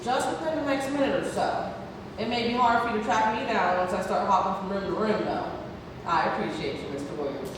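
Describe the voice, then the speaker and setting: A woman at a play table read, playing a kindly, tactful nurse with a polite, measured manner. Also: mid-range voice, close to an everyday voice. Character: kindly, tactful female nurse, gently firm. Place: large indoor theatre room, far microphone. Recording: distant, echoey hall, noisy room recording.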